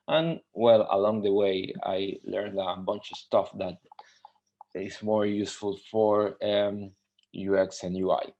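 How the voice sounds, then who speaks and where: Man, from Mexico, UX designer, webinar tutorial, halting with fillers, low voice, muffled lo-fi sound. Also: a little mellow. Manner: halting, many fillers and restarts. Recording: dull lo-fi webinar audio.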